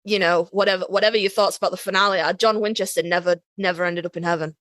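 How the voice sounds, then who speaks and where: A woman's voice: fairly low pitch, steady tone, fast, a bit bright, no echo, studio quality. A woman co-hosting a podcast, chatting casually in a blunt, wry, informal manner. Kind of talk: casual conversational discussion.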